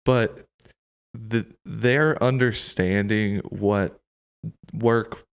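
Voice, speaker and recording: Young male podcast host, fairly low voice, easygoing and profane. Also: extremely slow, smooth. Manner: relaxed, swears casually. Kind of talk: casual podcast chat.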